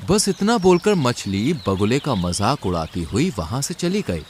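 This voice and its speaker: A man's voice: fairly high pitch, slight background noise. A man narrating a scripted children's cartoon story.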